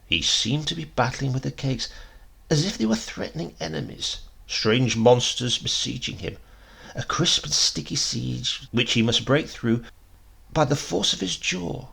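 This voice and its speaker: A man narrating a short story as a dramatic audiobook reading, with deliberate phrasing and emphasis. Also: mid-range voice, animated, creaky, fairly bright tone, breathy edge.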